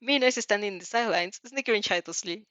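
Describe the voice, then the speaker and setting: A woman reading a story aloud as narrator, dramatic and a little halting, stumbling over some words. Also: medium pitch, fairly bright tone, no echo. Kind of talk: expressive fan fiction read-aloud.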